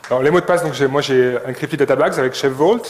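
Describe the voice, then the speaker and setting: A man's voice: medium pitch, fast talker. A man talking casually as a conference co-speaker, often repeating words as he answers questions. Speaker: conference co-speaker, software developer. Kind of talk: informal conference Q&A.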